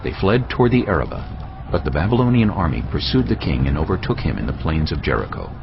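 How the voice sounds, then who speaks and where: Male narrator for dramatised audio Bible: low, grave storytelling with dynamic intonation, slightly dulled sound. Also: big pitch swings, vocal fry. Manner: solemn, measured storytelling. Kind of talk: dramatised scripted scripture narration. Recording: slightly dull audio under background music. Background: background music.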